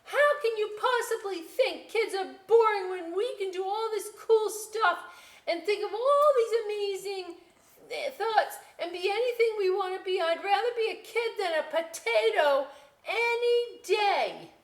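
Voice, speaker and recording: A woman reading a storybook aloud as an indignant, exuberant young girl, exclaiming loudly with drawn-out emphasis. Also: very high voice, lively intonation, voice pushed up. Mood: indignant, yelling. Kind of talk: children's storybook read-aloud. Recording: echoey room.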